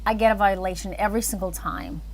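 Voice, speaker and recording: A woman's voice: mid-range voice, animated, quick pace, gravelly, a little hiss or hum. A woman chatting casually and thinking aloud as she hosts an animation livestream. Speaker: animator and filmmaker streaming live.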